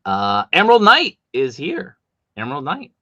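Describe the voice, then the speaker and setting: A man hosting a live stream from home, chatty and animated, with a big voice and coming down with a cold. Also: a little high, normal speed, no echo, lo-fi sound. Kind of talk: casual live stream chat.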